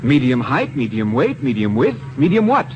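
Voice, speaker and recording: Middle-aged politician, stubborn, clipped, authoritative, animated, muffled old radio drama sound. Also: male voice, mid-range voice, normal speed, faint music behind. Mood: impatient, urgent. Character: stubborn, commanding politician and protective father. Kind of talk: 1950s radio drama acting. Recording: dull, hissy 1951 radio recording.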